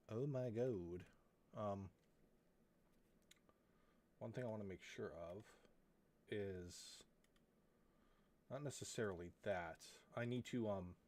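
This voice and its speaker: A man streaming a game, chatting casually and rambling to himself as he checks his microphone and sets things up. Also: on the low side. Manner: rambling, talks to himself, drops into muttered asides. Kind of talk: casual live-stream chat while setting up. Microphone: desk microphone he is testing.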